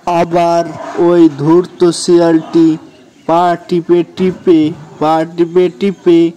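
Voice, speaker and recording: Male children's storyteller, slightly high pitch, very unhurried scripted narration. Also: completely flat delivery, warm tone, slight background noise, rough recording.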